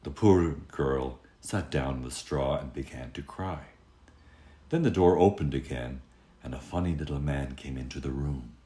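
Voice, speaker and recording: A man telling a fairy tale aloud as an expressive storyteller. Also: rumbling low, normal speed.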